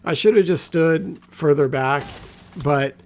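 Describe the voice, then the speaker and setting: A man with a scratchy, gravelly voice giving laid-back, rambling commentary as a gameplay guide. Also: a little high, a little slow. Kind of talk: casual walkthrough commentary.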